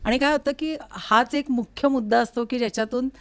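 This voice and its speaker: A woman speaks in a slightly high voice as the host of a studio interview. Her delivery is conversational and attentive, with short affirmations for the person she is talking to. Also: brisk. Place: recording studio.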